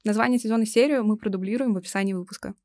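Woman podcaster, talks super fast, dry studio-like sound, casual. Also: mid-range voice, darkish tone, no echo. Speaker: podcast co-host. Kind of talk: casual panel discussion.

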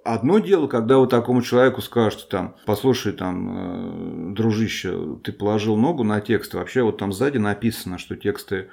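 A man with a fairly low voice talks through a Buddhist text for a podcast. His delivery is loose and conversational, full of hesitations and restarts as he works out his explanations. Now and then he makes light of his own shortcomings. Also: moderate pace, creaky, hardly any pauses, a little mellow. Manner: thinking aloud, many fillers and restarts, self-deprecating. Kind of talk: informal spoken lecture.

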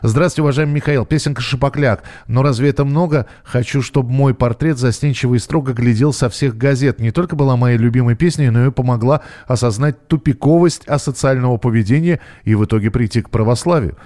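A man's voice: mid-range voice, fast, few pauses, dark tone. A man hosting a radio show, chatting casually and reading out messages, thinking aloud as he goes.

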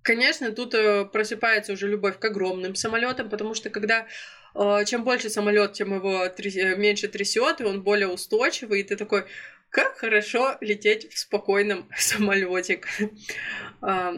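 A woman's voice: mid-range voice, fairly even intonation, normal speed, a bit bright. A young woman in her twenties from Russia, telling a personal travel story in a casual vlog, chatty with frequent fillers and self-corrections. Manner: chatty, many fillers and self-corrections, laughs now and then. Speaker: storytelling vlogger.

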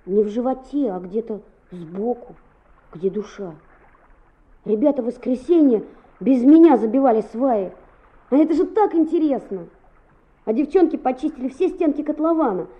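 An actress playing a lively, cheeky schoolboy who narrates his exploits in a radio play. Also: sits high, dull audio. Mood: wistful. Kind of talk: radio play acting with first-person narration.

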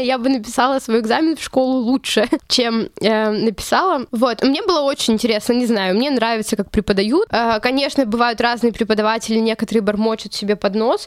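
A woman's voice: on the high side, dry recording, clean studio sound. A young woman from Russia, a journalism student, telling her story as an interview guest in a chatty way, full of fillers and restarts. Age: young adult. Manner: chatty, lots of fillers and self-corrections.

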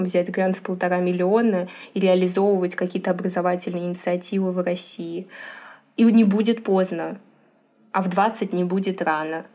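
Young woman, podcast guest, warm mellow voice, emphatic and earnest. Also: lowish voice. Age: 20s. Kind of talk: podcast advice talk.

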